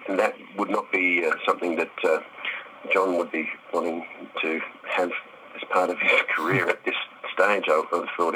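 A man's voice: low voice, bright, forceful tone, a little hiss or hum, poor audio. A middle-aged man answering interview questions casually, thoughtful and hesitant, with some self-deprecation. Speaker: actor, interview guest.

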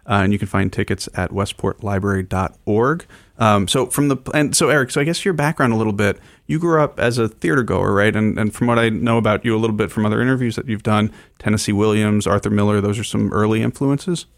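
Low-voiced man hosting a radio interview. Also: quick pace, no room sound, clean studio sound. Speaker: radio show host interviewing a guest.

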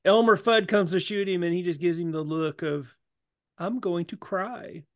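A man's voice: high voice, moderate pace. A man on a comedy podcast panel, bantering casually with the others.

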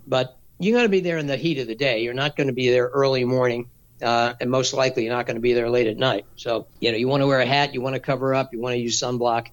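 A man answers interview questions in a steady, measured voice of middling pitch. He explains things practically and patiently, with the odd filler. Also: poor audio. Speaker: race director.

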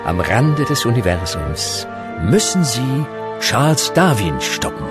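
A man's voice: mid-range voice, big pitch swings, slow pace. A male actor narrating a radio comedy as a dramatic, mock-epic storyteller. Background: music.

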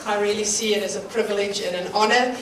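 A woman's voice: medium pitch, steady tone, fast, slight background noise. A middle-aged woman from South Africa with a South African accent, giving a slightly nervous church sermon with light laughs between phrases. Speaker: guest preacher. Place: church hall. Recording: roomy church hall from far off.

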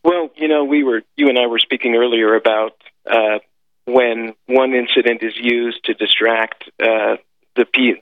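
Halting man on a tinny phone line. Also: medium pitch, no room sound. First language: French. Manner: halting, many filler sounds and restarts. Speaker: guest, former intelligence officer. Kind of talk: storytelling in a radio interview. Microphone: phone call.

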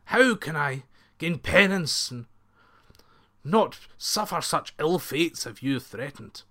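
A man's voice: on the high side, expressive, slow, sharp tone, voice pushed up, heavily acted. A man voicing an older bandit chief in a told folk tale, timid, deferential and imploring. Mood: pleading. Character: older male bandit chief, timid and pleading before clergy.

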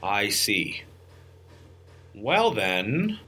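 An actor playing a bumbling, self-important rabbit detective in a comic radio play, hemming and clearing his throat. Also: lowish voice, big pitch swings, extremely slow, bright, forceful tone, full character voice. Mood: hopeful, awkward. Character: bumbling, oblivious rabbit detective with a sweet tooth.

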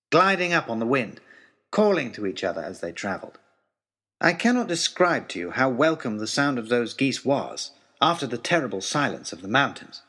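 A man playing an earnest, eloquent gentleman adventurer, narrating his letters home as a wonderstruck, literary storyteller in an audio drama. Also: mid-range voice, breathy edge. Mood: delighted.

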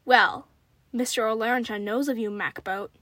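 A smooth-talking young ruffian leads a gang in a western storybook, as a woman reads him. He is light, theatrical and falsely courteous, in a fairly high voice with dry sound. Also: fairly even intonation, normal speed, sharp tone, no echo. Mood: mock-polite.

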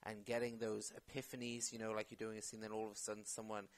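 A man's voice: lowish voice, completely flat delivery, fast talker. A man talking casually as an actor guest in an interview, rambling with many fillers and restarts.

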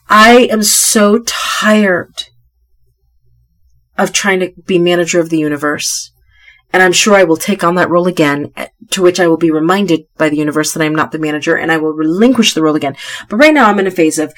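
A middle-aged woman hosting a motivational podcast, tired and a little stuffy, who talks emphatically and repeats key phrases. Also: on the low side. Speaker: podcast host. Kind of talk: motivational solo podcast talk.

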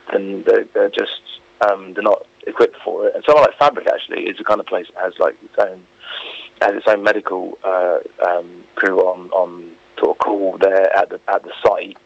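A man's voice: low-pitched, vocal fry, breathy edge. A man being interviewed on the radio, talking informally and giving his opinions in a rambling way peppered with filler phrases. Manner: informal, opinionated, rambling with frequent "you know" and "like". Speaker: interview guest. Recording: dull, lo-fi phone-like radio link.

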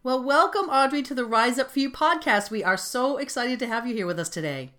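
A woman's voice: a little high, lively intonation, a little fast, sharp tone, faint music behind. A woman hosting a podcast interview, upbeat and affirming toward her guest. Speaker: podcast host.

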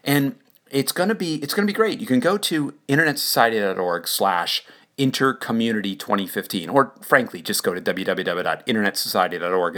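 A man's voice: on the low side, fairly bright tone. A man hosting a solo podcast, speaking in a conversational, enthusiastic way in an informal monologue. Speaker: podcast host. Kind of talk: casual informal monologue about an upcoming event.

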